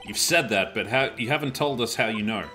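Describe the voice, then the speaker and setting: A man playing an earnest, excitable defense attorney, arguing emphatically in a fan dub of a courtroom game. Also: mid-range voice, fairly quick, over music, close to an everyday voice. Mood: protesting. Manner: emphatic, argumentative.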